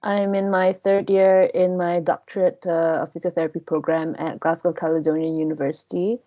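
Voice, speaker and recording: A woman's voice: on the low side, little pitch movement, moderate pace, dark tone. A young woman from Malaysia giving a student self-introduction on a video call. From Penang, Malaysia. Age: young adult. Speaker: physiotherapy student. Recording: dull video call audio.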